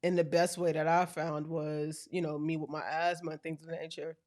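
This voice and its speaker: A woman with a deep voice hosting a podcast, chatting with her parents at their home in a relaxed, storytelling way. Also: a little fast. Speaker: podcast host. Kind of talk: casual family interview.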